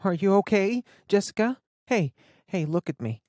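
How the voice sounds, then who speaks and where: Woman voicing a high, tearful teen girl. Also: animated, darkish tone, no echo, studio quality, lightly acted. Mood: anxious. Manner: rushed, breaking into tears. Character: teenage girl, tearful and rattled. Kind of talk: audiobook character voice.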